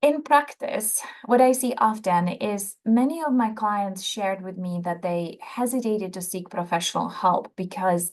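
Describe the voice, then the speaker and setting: Middle-aged woman, Czech accent, psychologist presenting a webinar, measured and explanatory, mid-range pitch. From Czech Republic. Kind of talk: prepared webinar lecture.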